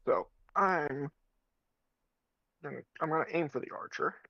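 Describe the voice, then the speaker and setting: Dull lo-fi recording, man, slightly high voice, casual tabletop player talk. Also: measured pace, gravelly, no echo. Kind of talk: casual chat during a game. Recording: dull, dry lo-fi microphone.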